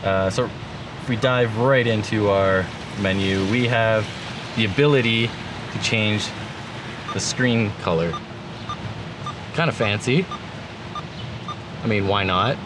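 A man in his thirties with a fairly low voice talks casually through a piece of gear. He sounds easygoing yet keen, chatting to the camera in everyday words, with clear vehicle and outdoor noise behind him. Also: slow pace, pauses often. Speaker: vlogger demonstrating vehicle gear. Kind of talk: casual product walkthrough to camera. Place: inside a parked jeep with open door, outdoors.

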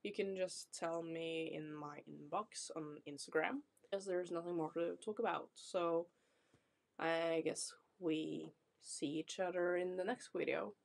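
Slow, low-voiced Norwegian woman vlogger. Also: steady tone, vocal fry. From Norway. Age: 20s. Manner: chatty, apologetic, reassuring, laughs often. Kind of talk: casual self-introduction to camera. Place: small decorated room indoors.

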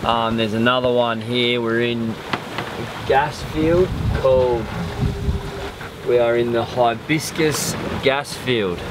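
A man casually describing a night sail from the deck, with relaxed pauses. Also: medium pitch. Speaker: sailing vlogger. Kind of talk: casual on-watch commentary. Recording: noisy boat recording, wind and water.

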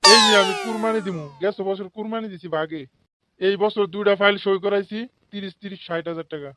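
A man's voice: high voice, fairly even intonation, fast talker, dry recording. A man voicing a corrupt, self-righteous office official in a comic cartoon, with a Bangladeshi regional accent and sanctimonious, pious phrasing. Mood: sly, self-justifying. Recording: loud music behind the voice. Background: loud music.

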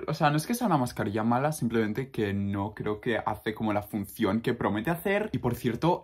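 A man's voice: mid-range voice, husky. A man in his twenties with a Spain Spanish accent, chatting casually as a vlogger. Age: 20s. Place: busy restaurants, shops and a home kitchen. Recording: noisy handheld vlog recording.

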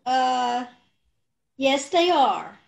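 A woman acts out a breezy, scatterbrained middle-aged wife from an old radio comedy. Her voice is high and very melodic, and she takes her time. She delivers illogical remarks with total earnestness. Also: lively intonation, vocal fry, exaggerated voice. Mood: breezy, self-assured. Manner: earnest malapropisms, unhurried.

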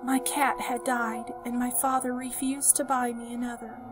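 A woman's voice: on the high side, little pitch movement, pitched above the performer's own voice, lightly acted. Woman voicing a frail, whispering, tearful old woman. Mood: tearful, tender. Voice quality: hoarse, breathy whisper. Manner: halting, tearful, tender endearments. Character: frightened, battered old woman, tearful, gentle and tender. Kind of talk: audiobook character voice. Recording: music behind the voice.

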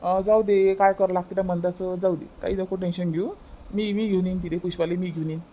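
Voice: medium pitch, level tone, fast, dark tone, lightly acted. A performer voicing a grudging village husband who repeats himself, in an amateur cartoon family comedy, with a Varhadi Marathi accent. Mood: grudging, placating.

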